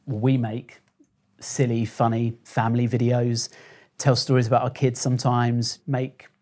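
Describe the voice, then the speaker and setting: A man's voice: medium pitch, flat delivery, measured pace, a little mellow. Easygoing man from Kent. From Kent, England. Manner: easygoing, with frequent "uh" and "yeah". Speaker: guest, content creator. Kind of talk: relaxed conversation.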